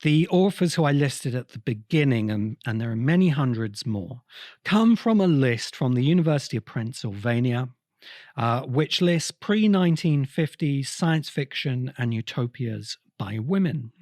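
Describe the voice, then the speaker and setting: A man hosting a podcast, explaining literary history in a deliberate, emphatic way with pauses between phrases. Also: medium pitch, lively intonation, darkish tone, no echo, studio quality. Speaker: podcast host.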